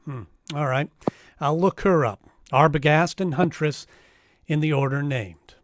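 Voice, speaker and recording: An actor playing a wry, hardboiled private detective in a noir radio drama, casual, clipped and wisecracking. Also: male voice, a little high, unhurried, a little hiss or hum, lightly acted. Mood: dry, businesslike.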